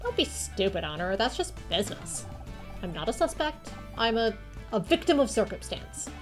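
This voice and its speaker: A woman's voice: mid-range voice, very animated, normal speed, soft background music, close to an everyday voice. A woman voicing a vain young TV actress in a video game, scornful, whiny and dramatic. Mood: dismissive. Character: vain, snooty young TV actress, spiteful and self-important.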